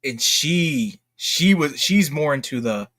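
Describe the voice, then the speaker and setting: A man's voice: on the high side, expressive, slow pace, a bit bright. A man hosting a casual livestream, speaking in informal slang with a self-deprecating, jokey manner and often repeating himself as he goes. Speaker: livestream host.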